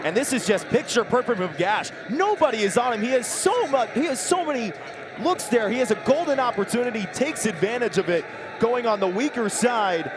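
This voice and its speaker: A man's voice: very high voice, animated, brisk, a crowd in the background. A man giving live sports analysis as a color commentator. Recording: loud stadium noise behind commentary.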